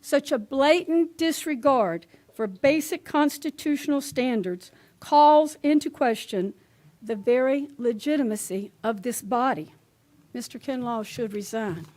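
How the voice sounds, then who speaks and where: A woman giving an indignant but measured public comment at a podium microphone in a meeting room. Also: fairly high pitch, darkish tone.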